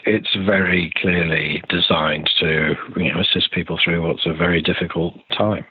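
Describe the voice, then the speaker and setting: A man's voice: deep voice, steady tone, moderate pace, slightly rough. An older man being interviewed as a theatre director on a remote podcast call, talking in a hesitant, rambling way with restarted sentences. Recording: roomy, thin phone-like call audio.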